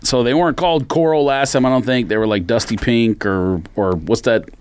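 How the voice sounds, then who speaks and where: A man's voice: medium pitch, brisk, darkish tone, clean tone. A man co-hosting a podcast in casual conversation, explaining things in a matter-of-fact way. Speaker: sneaker podcast co-host. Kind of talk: casual chat.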